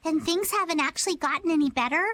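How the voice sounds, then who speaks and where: A woman's voice: very high voice, fast talker, a bit bright. A woman voicing a chipper, quirky young pirate girl in an anime game dub, self-assured and speaking in old-fashioned slang. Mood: playful, musing. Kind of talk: English-dubbed anime game voice acting. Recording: music under the voice. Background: music.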